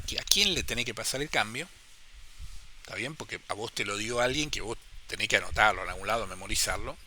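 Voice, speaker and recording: A man's voice: fairly low pitch, pushed and bright, slight background noise. A man with an Argentine Spanish accent explaining amateur radio etiquette step by step in a casual tutorial, often checking that listeners follow.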